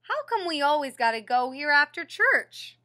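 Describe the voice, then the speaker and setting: A woman's voice: high voice, sing-song, moderate pace, sharp tone, full character voice. A woman voicing a wistful, slightly sulky young boy in a children's picture book, asking questions plaintively.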